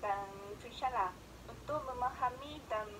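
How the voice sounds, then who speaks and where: A woman's voice: on the high side, a little slow, over a noisy crowd, rough recording. A young woman from Japan, answering interview questions outdoors in careful, hesitant second-language speech full of "ah" fillers. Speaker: interviewed student. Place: outdoors in a park. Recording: outdoor clip with clear background noise.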